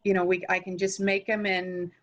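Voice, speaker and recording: A woman's voice: lowish voice, little pitch movement, normal speed, muffled recording. A woman presenting at an online session, asking plain, direct questions over a video call. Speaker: guest presenter. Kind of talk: casual online meeting chat.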